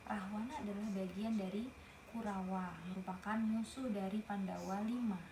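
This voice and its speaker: Woman reading a storybook aloud. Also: mid-range voice. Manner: pauses to explain the story to a young listener. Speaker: storybook reader. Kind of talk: reading a picture book aloud with chatty asides. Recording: roomy with noticeable background noise.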